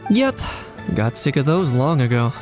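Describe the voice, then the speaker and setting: Mid-pitched composed male knight, polite, measured, analytical, English game dub, soft music underneath. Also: very animated. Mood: cheeky, dismissive. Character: composed, courteous male knight investigator, dryly cheeky.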